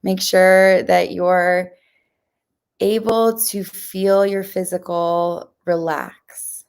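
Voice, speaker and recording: Female meditation guide, unhurried and slightly low-pitched, gentle and reassuring, informal instructor tone. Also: flat delivery, smooth. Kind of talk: guided mindfulness meditation, addressed to viewers.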